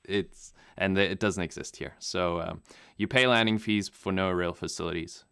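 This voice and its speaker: A young man who grew up in Namibia, native German speaker, answering interview questions in a relaxed, rambling way with many fillers and restarts. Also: low-pitched, moderate pace, creaky, clear, resonant tone, dry recording, studio quality. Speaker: guest pilot.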